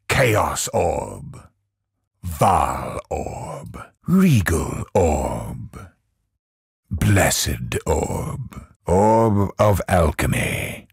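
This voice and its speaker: A godlike cosmic villain from a video game, low and husky. He speaks slowly and theatrically, with sweeping swings of pitch, every phrase cold and grandiose. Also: no echo, studio quality, exaggerated voice. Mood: grave, reverent. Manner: slow, portentous, theatrical delivery. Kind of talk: dramatic scripted game voice lines.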